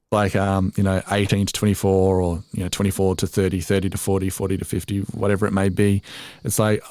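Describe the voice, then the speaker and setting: A man's voice: lowish voice, a little mellow, no echo. An Australian man in his forties, a voiceover artist talking casually as a podcast guest, chatty and self-deprecating with frequent restarts and laughs. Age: 40s. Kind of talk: casual interview chat.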